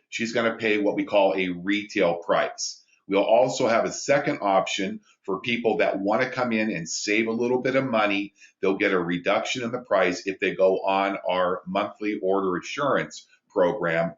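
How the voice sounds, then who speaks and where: A man with a big booming voice hosting a live business training call from a small studio, reading out viewer questions and explaining sign-up steps. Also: roomy sound, muffled recording. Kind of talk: live webinar training talk.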